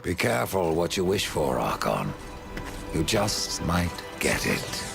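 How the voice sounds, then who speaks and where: An actor playing a grim, sardonic supernatural rider of death in a video game, terse and menacing, with a gravelly voice. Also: male voice, sits low, big pitch swings, a little slow, heavily acted. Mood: grim, resolute. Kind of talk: dramatic video game acting. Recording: loud music under the voice.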